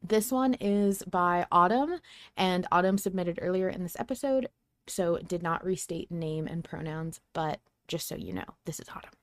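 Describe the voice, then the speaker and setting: A woman's voice: on the low side, moderate pace. A woman hosting a podcast in a casual, chatty style. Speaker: podcast host.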